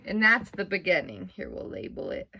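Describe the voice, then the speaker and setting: A woman's voice: lowish voice, big pitch swings, moderate pace, gravelly, bright, forceful tone, husky, rough recording. A woman teacher leading a read-aloud lesson for young pupils, explaining in simple phrases and guiding them with questions. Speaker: elementary school teacher.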